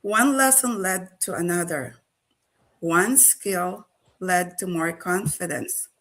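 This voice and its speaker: An older woman from the Philippines, a great-grandmother, giving a slow, measured prepared talk with some hesitations. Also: lowish voice, a bit bright. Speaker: panel speaker.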